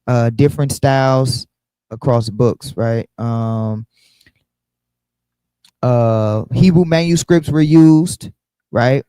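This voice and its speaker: A man's voice: mid-range voice, slow pace, long pauses, mellow, clean tone, no room sound, low-quality recording. A man teaching a casual bible study, often checking in with the listeners by asking "right?".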